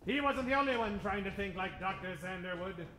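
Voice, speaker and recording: A man's voice: high voice, a little fast, sharp tone. A man narrating a comic old-time radio serial in a dramatic, tongue-in-cheek way. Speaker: narrator, adventure host. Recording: echoey stage, music behind.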